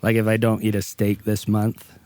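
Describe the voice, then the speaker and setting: A raspy man from Utah hosts a loose comedy podcast with friends. His voice sits on the low side and sounds dry and close. He plays it deadpan and a little clueless. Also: moderate pace, dry recording. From American Fork, Utah. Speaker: podcast host. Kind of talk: casual comedic chat.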